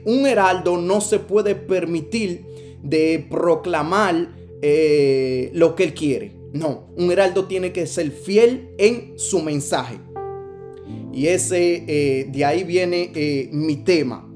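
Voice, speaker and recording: A man's voice: on the high side, a little mellow. A man from the Dominican Republic with a Dominican accent, preaching a sermon over a video call with earnest repetition and many hesitant fillers. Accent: Dominican Spanish. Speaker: evangelist preacher.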